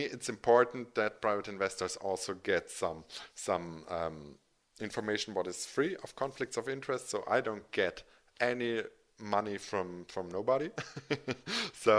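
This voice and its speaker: A man's voice: on the low side, fairly bright tone. A man from Germany with a German accent, a native German speaker, giving an informal explanatory presentation as a financial educator, with hesitant, self-correcting phrasing. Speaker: financial educator and channel host.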